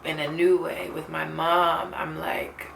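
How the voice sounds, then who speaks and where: A woman's voice: sits low, completely flat delivery, takes it slowly, vocal fry, fairly bright tone, echoey room, a little hiss or hum. A woman in her twenties talking candidly to her camera, earnest and reflective, often saying "like" and "you know.". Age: 20s. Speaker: vlogger. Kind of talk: candid personal talk to camera. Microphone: camera mic.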